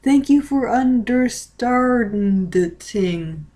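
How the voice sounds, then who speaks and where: A woman voicing a gentle, devoted teenage boy in a storybook read-along, tender and reassuring. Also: a little high, animated, very slow.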